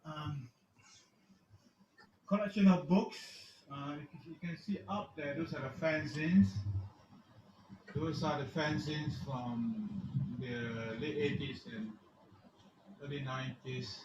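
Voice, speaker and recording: Middle-aged man in a roomy, dull recording. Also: on the high side. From Malaysia. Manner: halting, casual. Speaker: guest storyteller, music archivist. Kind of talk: informal narrated tour of his collection. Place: recorded video inside a room at home. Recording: roomy, dull video audio, faint noise.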